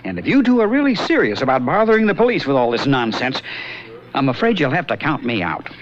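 A man's voice: medium pitch, sing-song, fast talker, natural voice. An actor playing a skeptical man in a radio drama who keeps making dry, teasing remarks and wanting a drink. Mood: wry. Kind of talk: 1970s radio drama acting. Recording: lo-fi old radio broadcast, faint hiss.

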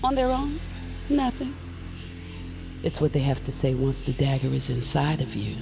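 A woman's voice: a bass voice, very animated, moderate pace, gravelly, music in the background, exaggerated voice. An actress playing a sly, sardonic witch with a teasing streak in an audio drama. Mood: deadpan.

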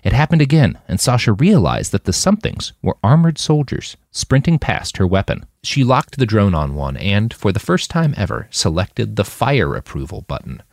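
A man reading scripted fiction narration in an expressive, dramatic storytelling manner. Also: on the low side, moderate pace, creaky, hardly any pauses, dry recording, studio quality. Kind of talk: scripted audiobook-style narration of a dystopian war novel.

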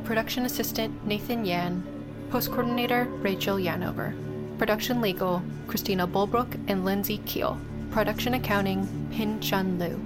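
A woman announcer giving a scripted reading of credits. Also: mid-range voice. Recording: very loud music behind. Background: music.

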